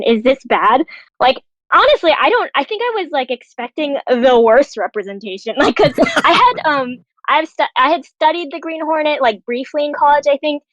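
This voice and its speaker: A woman with a fairly high voice talks casually as a panelist. Her way of speaking is chatty and informal, circling through her thoughts. The recording is dry and a little dull. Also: fairly bright tone, no room sound. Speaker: panelist, television writer. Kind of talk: casual chat.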